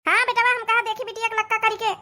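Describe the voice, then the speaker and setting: Woman voicing a very high-pitched ageing Awadhi mother-in-law. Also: little pitch movement, quick pace, pushed and bright. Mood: affectionate, fussy. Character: ageing village mother-in-law, affectionate, slightly deaf. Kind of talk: cartoon comedy dialogue.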